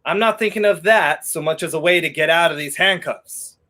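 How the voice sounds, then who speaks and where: A man's voice: fairly high pitch, fairly quick, bright, forceful tone. A young man playing a wry, weary fugitive hero in a 1930s radio play, sardonic and theatrical in manner. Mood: dry, teasing. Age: young adult.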